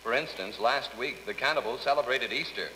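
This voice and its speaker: A man acts out a straight-faced radio announcer with a bright, forward voice. He reads silly letters with formal gravity in a comedy skit. The old recording has long reverb and faint noise. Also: medium pitch, a little fast, lightly acted. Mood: deadpan, formal. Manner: deadpan, formal. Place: radio studio.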